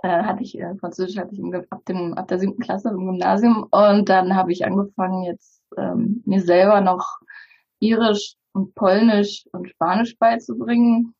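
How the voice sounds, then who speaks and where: A woman in her thirties, a native Bulgarian speaker, talking in an interview with many pauses and fillers. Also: medium pitch, flat delivery, vocal fry, darkish tone, breathy edge, lo-fi sound. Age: 30s. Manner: hesitant, many fillers and restarts. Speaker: interview guest. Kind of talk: casual interview talk.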